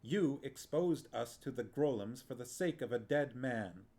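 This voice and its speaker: Storybook: ancient sorceress aunt read by a man, icy, flat and cutting. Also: medium pitch, measured pace. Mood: cold.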